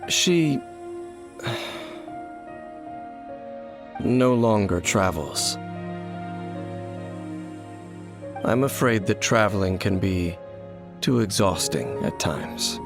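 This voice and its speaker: A solemn, world-weary male knight from a video game. His voice sits fairly low, and he speaks formally and with measured reserve. Music plays clearly behind him. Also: expressive, heavily acted. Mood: weary, wistful. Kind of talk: video game dialogue acting.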